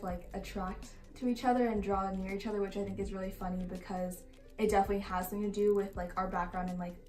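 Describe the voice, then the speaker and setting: A woman's voice: mid-range voice, a little mellow. A nineteen-year-old woman giving casual, conversational interview answers, often padding them with "like" and "kind of". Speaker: college student, sorority member. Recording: echoey, distant mic, music underneath.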